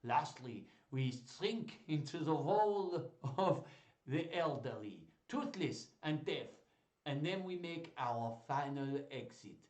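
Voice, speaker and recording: A man voicing a gloomy, opinionated French nobleman in a storybook, with slow drawn-out theatrical delivery and a put-on French accent. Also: fairly high pitch, animated, darkish tone. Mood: grave, theatrical. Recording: roomy, distant microphone.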